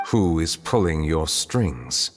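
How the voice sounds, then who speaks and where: Deep, solemn chief justice. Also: male voice, slight background noise, full character voice. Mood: stern questioning. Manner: formal, measured, calm. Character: solemn, composed male chief justice, centuries old. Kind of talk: video game voice acting. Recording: long reverb, music behind.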